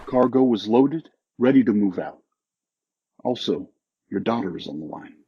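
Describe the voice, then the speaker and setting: A man playing a brisk military subordinate giving reports in a sci-fi audio drama, with dull, muffled sound. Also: on the low side, expressive, slight background noise, lightly acted. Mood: brisk, amused.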